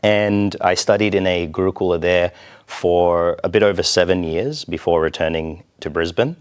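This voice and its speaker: A man from Australia speaking as an interview guest, explaining things in a measured, deliberate way. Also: deep voice, clean tone. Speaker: temple president, interview guest. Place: TV studio.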